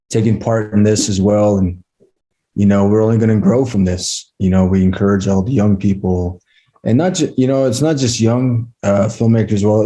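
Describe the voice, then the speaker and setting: Middle aged guy, really dark raspy voice, plainspoken and folksy, giving encouraging closing words. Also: on the low side, poor audio. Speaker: organization representative giving closing remarks. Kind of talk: informal encouraging speech. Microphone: online video call.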